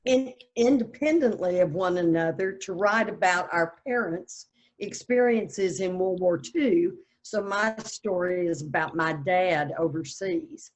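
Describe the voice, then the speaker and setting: A woman's voice: on the low side, measured pace, poor audio. An older woman talking casually as a writer in a group discussion on a video call. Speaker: playwright in the discussion. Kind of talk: casual chat. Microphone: video call microphone.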